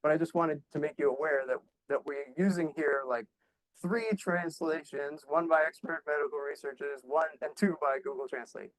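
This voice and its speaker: A man's voice: on the high side, fairly even intonation, normal speed, rough recording. A man presenting slides at a conference talk, explaining his work in a loose, conversational way with many fillers and restarts. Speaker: conference speaker.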